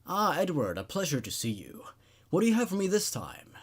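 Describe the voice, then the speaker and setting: A man's voice: on the high side, huge pitch swings, brisk, breathy edge, pitched above the performer's own voice, exaggerated voice. A man voicing a curious, probing male psychiatrist in a horror story, with an inquisitive manner. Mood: polite, probing.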